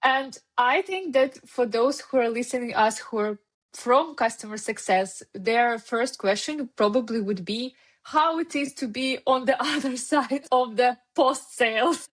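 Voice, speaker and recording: A woman's voice: fairly high pitch, unhurried. A woman with a Ukrainian accent hosting a podcast, asking questions eagerly in non-native phrasing. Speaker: podcast host. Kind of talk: interview questions.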